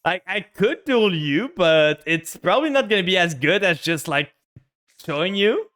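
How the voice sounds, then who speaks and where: A man's voice: high-pitched, expressive, moderate pace, fairly bright tone, clean tone. A man with a French-Canadian accent, streaming a card game and talking his moves through with chat. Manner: thinks aloud, narrating each play to chat. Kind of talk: casual game stream commentary.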